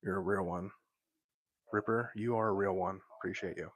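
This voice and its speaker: A man's voice: sits low, steady tone, moderate pace, dry recording. Man whispering close to the mic. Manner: soft whispering, laid-back and chatty. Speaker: livestream host. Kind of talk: whispered late-night livestream chat. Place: at home, lying in bed. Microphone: close microphone.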